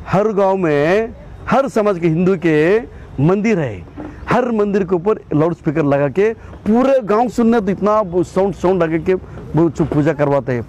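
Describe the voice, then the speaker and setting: A man's voice: high-pitched, a little mellow, a little hiss or hum. A man with a Karnataka accent in his Hindi, giving a combative, impassioned statement as an activist and often repeating his phrases. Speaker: political activist and state president of an organisation.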